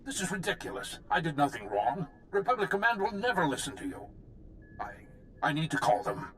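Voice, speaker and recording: Blustering, self-serving military commander, swinging pitch. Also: male voice, sing-song, brisk, a bit bright, slight background noise. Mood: flustered, indignant. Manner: defensive, haughty. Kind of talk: video game voice acting.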